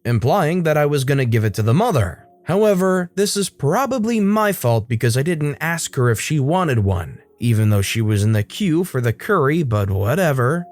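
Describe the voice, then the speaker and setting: A man reading internet stories aloud, with lively delivery and wry asides. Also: on the high side, moderate pace, hardly any pauses. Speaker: story narrator.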